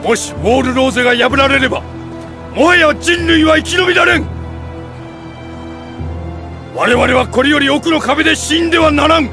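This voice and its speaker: Anime game veteran general: elderly, authoritative, creaky voice, deliberate delivery, faint music behind. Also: male voice, very high-pitched. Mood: grave appeal. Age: older. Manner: commanding, deliberate oratory. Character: stern, composed old male army commander. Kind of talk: dramatic anime game acting.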